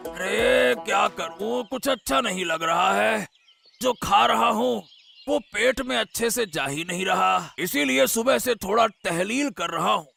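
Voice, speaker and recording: A man acting a creaky, whining ailing husband. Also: sits high, animated, sharp tone, soft background music, heavily acted. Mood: weary, suffering. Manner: slow, groaning, protesting. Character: ailing older husband, whiny and complaining of stomach trouble. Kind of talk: cartoon story acting.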